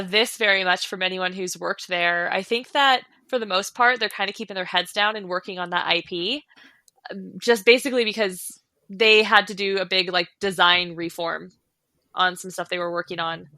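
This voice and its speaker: A woman's voice: medium pitch, normal speed, pushed and bright, no echo. An American woman co-hosting a podcast, explaining industry news in an informal, chatty way. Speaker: podcast co-host. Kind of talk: casual industry chat.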